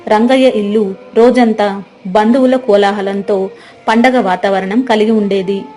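A woman's voice: mid-range voice, fairly even intonation, measured pace, mellow, faint music behind. A woman narrating a scripted moral story as its storyteller.

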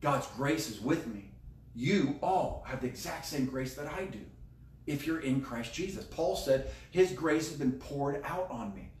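A man's voice: mid-range voice, lively intonation, unhurried, darkish tone. A middle-aged American man preaching a conversational sermon as a pastor, emphatic and repeating key phrases. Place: indoor room beside a screen. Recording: roomy echo, distant microphone.

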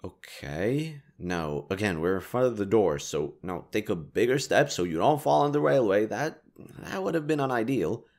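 A man's voice: lowish voice, lively intonation, moderate pace, darkish tone. A man acting a kind, teasing young stranger who guides a lost girl, speaking casually to the listener in an intimate roleplay audio drama. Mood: gentle, guiding. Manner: casual, playfully teasing, mildly awkward, swearing.

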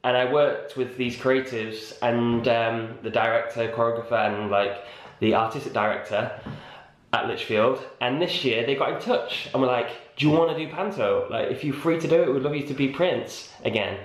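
A man's voice: mid-range voice, normal speed, roomy sound, low-quality recording. Chatty young man vlogging to camera. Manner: chatty, animated, lots of "like" fillers. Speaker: vlogger and stage actor. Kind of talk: casual vlog chat. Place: hotel room and corridor indoors, garden outdoors. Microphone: camera held at arm's length.

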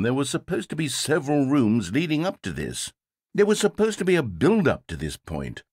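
An actor playing a vain, fussy game narrator obsessed with comedic timing, with a slightly breathy voice. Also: male voice, medium pitch, a little mellow, no room sound, full character voice. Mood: self-pitying. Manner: theatrical, fussy, swings between pride and self-pity. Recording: dry close studio sound.

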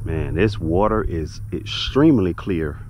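A man's voice: deep voice, lively intonation, measured pace, a little mellow, slight background noise. A man giving casual, laid-back, folksy commentary to viewers as a fishing vlogger, outdoors on a boat in a marsh.

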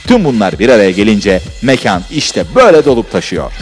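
A man's voice: on the low side, sing-song, fairly quick, soft background music. A man narrating a scripted TV voice-over.

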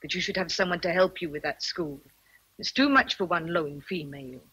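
An actress playing a blunt, fussing housekeeper in a radio drama. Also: female voice, fairly low pitch, fairly quick, a bit bright, husky, low-quality recording. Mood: sceptical, fussing.

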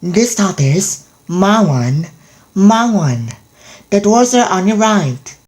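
Very slow female subway announcer. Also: medium pitch, expressive, darkish tone. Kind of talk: scripted station announcement.

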